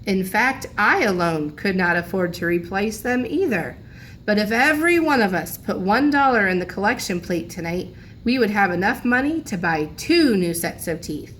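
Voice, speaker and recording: A woman's voice: mid-range voice, animated, moderate pace, a bit bright, a little hiss or hum, close to an everyday voice. A woman reading aloud as a civic-minded townsman making a reasoned appeal in a children's storybook. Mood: persuasive.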